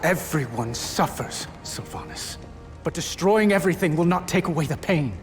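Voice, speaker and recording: An actor playing a noble young captive prince in a game cinematic, earnest, weary and defiant. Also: male voice, fairly high pitch, lively intonation, normal speed, close to an everyday voice. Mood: pleading, incredulous. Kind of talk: dramatic game cinematic acting. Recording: loud cinematic music behind.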